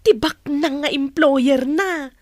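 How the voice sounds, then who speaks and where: A woman's voice: high-pitched, slow, no room sound, studio quality. A woman acting an assured village gossip bringing news in a radio drama. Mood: assured, gossipy.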